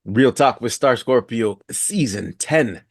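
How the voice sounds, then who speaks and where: A man's voice: mid-range voice, animated, takes it slowly, no room sound, clean studio sound, soft background music. A man hosting a casual podcast interview, asking questions in an informal, inquisitive way.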